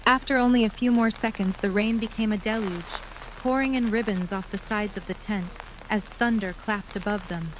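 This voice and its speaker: A woman narrates a scripted story in a mid-range voice. She reads descriptive prose as the narrator of an audio drama. Rain is clearly heard behind her. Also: mid-range voice, moderate pace, slight background noise, poor audio. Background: rain ambience.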